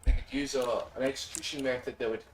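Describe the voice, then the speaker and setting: A man's voice: medium pitch, fairly even intonation, quick pace, far from the mic, slight background noise. A man joining a friend's game stream, rambling through darkly funny stories with lots of "like" and filler. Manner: rambling storyteller, dark humor, lots of "like". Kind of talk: casual chat during gameplay. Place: shared room at a home gaming gathering. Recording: roomy, off-mic voice.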